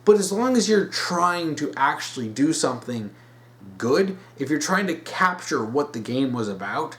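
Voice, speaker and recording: A man hosting a solo opinion video, speaking off the cuff in an emphatic, halting way and repeating himself to stress his points. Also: a little high, big pitch swings. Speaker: host of a weekly topic video series. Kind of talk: unscripted solo opinion commentary.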